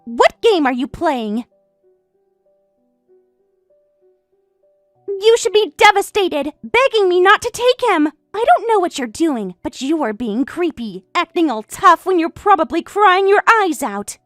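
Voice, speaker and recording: A woman's voice: extremely high pitch, very animated, normal speed, few pauses, dry recording, studio quality, faint music behind. A woman voicing a smug, catty young woman who stole her best friend's husband, taunting and boastful in a voiced story drama.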